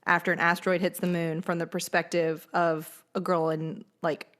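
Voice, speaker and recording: A woman's voice: lowish voice, level tone, moderate pace, fairly bright tone. A young woman with an American accent chatting casually as a podcast co-host, slangy and enthusiastic. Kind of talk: casual chat about movies and pop culture.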